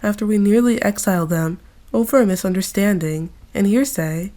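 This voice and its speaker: A woman voicing a grown former knight who mentors a younger friend, gentle and reflective, in a fan audio drama. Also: medium pitch, normal speed, lightly acted. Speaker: voice actor.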